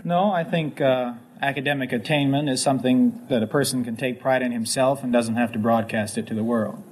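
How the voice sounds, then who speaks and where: A young American man from California answers interview questions politely and modestly. His pitch is average. There is faint noise, as on a 1950s radio recording. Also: steady tone, brisk. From Hollywood, California. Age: 20s. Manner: earnest, modest. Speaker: contestant.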